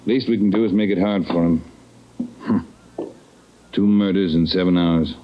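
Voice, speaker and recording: A male actor plays a decisive, gruff police chief with a deep, dark voice. He is terse and authoritative, in the style of a 1940s radio crime drama. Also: fairly even intonation, normal speed, natural voice, poor audio. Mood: urgent, commanding. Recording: vintage 1940s radio recording.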